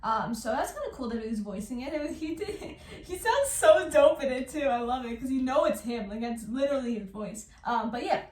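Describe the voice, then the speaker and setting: A woman's voice: sits high, lively intonation, a little fast, few pauses, a little mellow. A woman giving casual reaction commentary in an informal, hesitant, self-deprecating way. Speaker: reaction video host. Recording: roomy, distant mic, faint noise.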